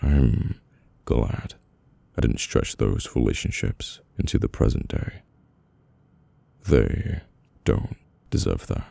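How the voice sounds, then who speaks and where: A man plays a guarded, brooding British mafia boss in an intimate ASMR audio roleplay. His voice is extremely deep and dark, murmured slowly with halting pauses. He sounds weary, with a softer side under the stiff front. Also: animated, creaky, dry recording, full character voice. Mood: wistful, regretful.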